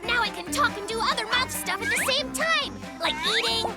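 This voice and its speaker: A performer voicing an excitable, boastful little brown bear cub in a children's cartoon. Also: extremely high pitch, a little fast. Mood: excited, boastful. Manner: exclamatory, thinking out loud, enthusiastic. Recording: music behind the voice. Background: children's cartoon music.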